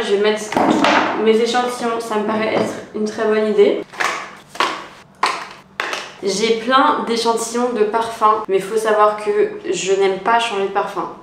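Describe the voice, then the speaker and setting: A woman's voice: mid-range voice, background noise. Chatty young woman vlogging casually. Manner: chatty, slangy, playful asides. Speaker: lifestyle vlogger. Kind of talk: casual vlog chat to camera.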